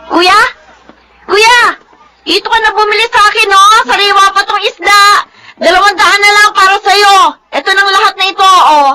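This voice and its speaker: A feisty young Filipino market vendor in a radio drama. Her voice is very high and she plays it coy and animated, with a Filipino accent. Clear noise sits behind her. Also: normal speed, heavily acted, low-quality recording. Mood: eager hawking.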